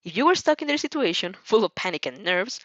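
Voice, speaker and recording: Animated woman reading a story aloud. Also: medium pitch, fast talker, no echo, lo-fi sound. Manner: theatrical, stumbles over words. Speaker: fan fiction reader and host. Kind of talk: expressive storybook narration with character voices.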